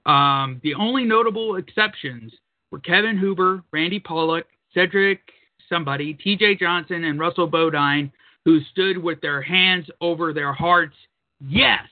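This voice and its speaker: Crude, blunt male radio co-host, slightly high voice, narrow dry phone-like sound. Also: normal speed, no echo. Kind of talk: casual radio talk.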